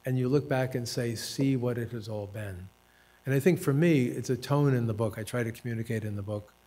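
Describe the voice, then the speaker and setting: A man in his seventies from the United States, an author and professor telling stories of his life at a panel talk, unhurried and self-deprecating, with dry humor and hesitant fillers. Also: medium pitch, warm tone. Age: 70s. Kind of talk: reflective personal storytelling at a panel talk.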